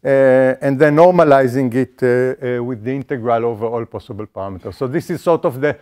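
A man's voice: mid-range voice, brisk, darkish tone. A middle-aged man giving an academic statistics lecture in an auditorium, explaining step by step with frequent fillers and restarts.

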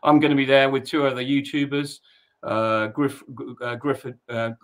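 A man's voice: mid-range voice, steady tone, normal speed. A young man from the UK joining a casual live chat as a guest over a video call, upbeat, chatty and self-mocking. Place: indoors at home.

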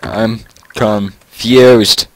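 Giggly teenage boy with a low voice. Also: fairly even intonation, extremely slow, dry recording. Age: teens. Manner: goofy, giggly, teasing. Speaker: gamer recording gameplay. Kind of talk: casual gameplay chat.

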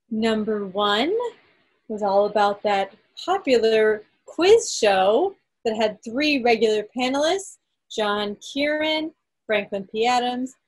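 Woman, husky, unhurried pace, quiz host, emphatic, reading out results, video call audio. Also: fairly high pitch, poor audio. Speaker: quiz host at a club meeting. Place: indoors, on a group video call.

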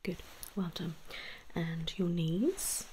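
Soft-whispering woman, slow and gentle. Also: on the low side, slight background noise. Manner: soft, often whispered, gentle reassuring instructions. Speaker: ASMR performer role-playing a medical exam. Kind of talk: ASMR role-play.

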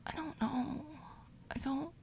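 A woman talking in a soft, breathy half-whisper, rambling and repeating herself in a casual ASMR chat while recovering from jaw surgery. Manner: rambling, repeats words in quick runs. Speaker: ASMR roleplay performer. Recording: low-quality audio with steady background machine noise.

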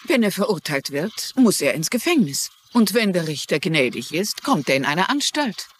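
Eine Schauspielerin spielt in einem synchronisierten TV-Drama eine gefasste, wissbegierige ältere Frau, die bedacht und deutlich spricht. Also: female voice, fairly low pitch, big pitch swings, normal speed, a little hiss or hum. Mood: grave, measured. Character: composed, inquisitive older woman, a former teacher turned sleuth. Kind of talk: dubbed TV drama dialogue in German.

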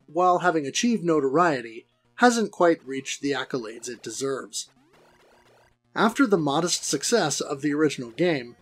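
A middle-aged man delivers a scripted review. His voice sits a little high, and his phrasing is wordy and theatrically formal. Music plays lightly behind him. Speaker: host of a video game review series.

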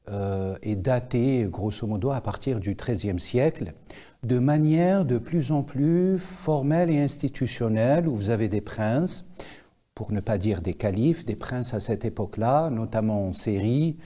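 A man in his forties giving explanations as an expert interview guest, with formal, hesitant, deliberate phrasing. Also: on the low side, dark tone. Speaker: guest expert, Islamic scholar. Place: TV studio, indoors.

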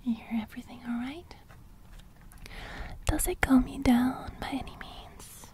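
Young woman doing asmr, breathy crackly whisper right up on the mic, really slow and reassuring. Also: sits high. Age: young adult. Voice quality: breathy crackly whisper edging into soft speech. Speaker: ASMR host. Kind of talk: whispered ASMR roleplay, personal attention. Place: indoors, close to the microphone. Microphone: large studio microphone, very close.

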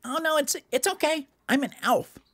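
A woman's voice: high voice, normal speed, a bit bright, pitched above the performer's own voice. A woman voicing a chatty, kindly little elf in a children's Christmas story. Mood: reassuring. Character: friendly, reassuring little pointy-eared Christmas elf.

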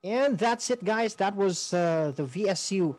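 A man with a Filipino accent co-hosting a school programme, giving casual announcements in a halting way. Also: high-pitched, expressive, a little mellow, no room sound. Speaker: co-host of a school-on-the-air programme, local artist.

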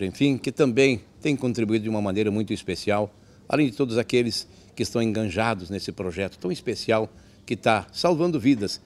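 Animated man hosting a show. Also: fairly low pitch. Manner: declamatory announcer delivery, rising to big exclamations. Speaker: TV show host. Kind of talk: enthusiastic TV presenting. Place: open wooden stage shed at an outdoor festival camp.

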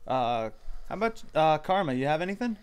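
A man's voice: fairly high pitch, big pitch swings, a little fast, background noise. A man hosting a casual cast Q&A and chatting with the group. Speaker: host of a cast Q&A.

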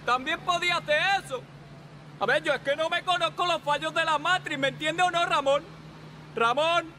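A man's voice: very high voice, moderate pace, bright, forceful tone, a little hiss or hum. A man with a Castilian Spanish accent doing comic game dubbing as a sarcastic, exasperated, wisecracking superhero who swears theatrically. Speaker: video creator dubbing game bugs.

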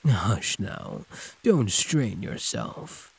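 A hulking male werewolf, played by a man, purrs possessively in an intimate audio roleplay. His voice is extremely husky, with swooping pitch and an unhurried drawl. He teases like a predator toying with prey. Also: wildly swooping pitch, exaggerated voice. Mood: urgent, protective. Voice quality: husky, breathy. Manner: drawling, teasing pet names, predatory purr. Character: possessive, growling male werewolf prisoner, huge and teasing.

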